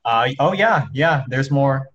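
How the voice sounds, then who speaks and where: A man from southern Thailand chatting casually on a video call, speaking slowly and often repeating words. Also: mid-range voice, animated, fairly bright tone, lo-fi sound. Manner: slow, halting, repeats words. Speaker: participant in a video call chat. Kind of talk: casual chat.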